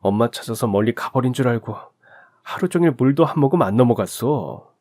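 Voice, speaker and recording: A man's voice: medium pitch, animated, fairly quick, a little mellow, no echo, clean studio sound, lightly acted. Guy doing a stern dad telling his kid off, worried more than angry. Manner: stern reproach. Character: worried scolding father, middle-aged.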